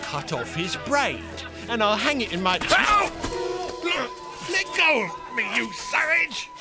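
An actor playing a mocking, menacing, bigoted London bully in a radio drama, with a taunting, sneering delivery. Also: male voice, very high-pitched, huge pitch swings, slow pace, loud music behind the voice, exaggerated voice. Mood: aggressive, angry.

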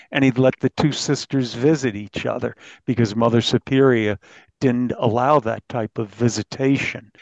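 A man, a newspaper reporter, explaining the details of a case in an interview in a halting, detailed way. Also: mid-range voice, a little mellow, dry recording, dull audio.

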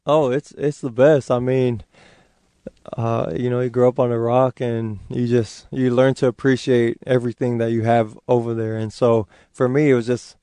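A man's voice: medium pitch, moderate pace, smooth, dry recording. A man in his twenties from Hawaii, whose first language was Hawaiian, talking as a guest in a casual, easygoing interview. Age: 20s. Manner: relaxed, easygoing, many fillers. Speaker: football player guest.

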